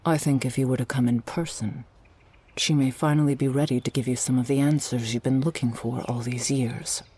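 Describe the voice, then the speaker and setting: An orca girl from an audio roleplay, voiced by a woman, earnest and world-weary. The voice is notably husky and breathy. She talks gently, in a measured way. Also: very deep voice, fairly even intonation. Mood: earnest pleading. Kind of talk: ASMR audio roleplay acting.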